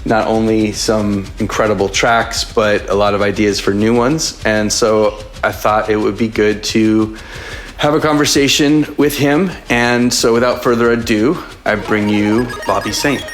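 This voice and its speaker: Male host, low voice, casual questions, soft music underneath. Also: fairly even intonation, measured pace. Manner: loose, searching questions with fillers. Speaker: host interviewer. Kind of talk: casual interview conversation.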